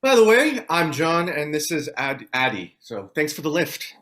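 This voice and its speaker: Actor as blunt, bright-voiced man taking charge. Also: a little high, big pitch swings, moderate pace. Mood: polite. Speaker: actor in a table read. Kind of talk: table read of a screenplay.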